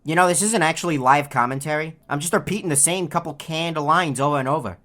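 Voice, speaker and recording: A woman voicing a lazy, greedy bird who heckles in a deadpan, sarcastic way as a video game character. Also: low voice, quick pace. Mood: deadpan mocking.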